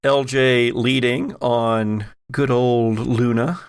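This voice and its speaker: Guy game master w/ gravelly fry, takes his time narrating spooky stuff, kinda wry. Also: medium pitch, steady tone, slow. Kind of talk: narrating a tabletop horror game.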